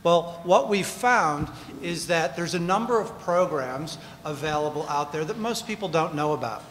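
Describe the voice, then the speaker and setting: A man's voice: a little high, expressive, moderate pace, a bit bright, slight background noise. Man panelist in a reverberant hall. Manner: conversational, polls the room. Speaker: panelist, commercial real estate developer. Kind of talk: panel talk engaging the audience. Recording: reverberant room, faint ambience.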